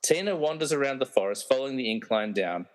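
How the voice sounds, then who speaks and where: A man's voice: medium pitch, animated, fast, low-quality recording. A man narrating a radio play, reading out scripted scene directions.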